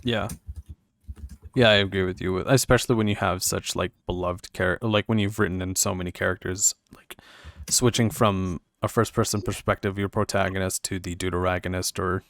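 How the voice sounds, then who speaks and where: A young man with a fairly low voice hosts a casual online podcast. He talks loosely and fills his sentences with "like" and "um," and he is quick to laugh. The sound is dry and close, with almost no room around it. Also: no echo. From Canada. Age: young adult. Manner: relaxed, filler-heavy, laughs easily. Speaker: podcast host. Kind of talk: casual chat.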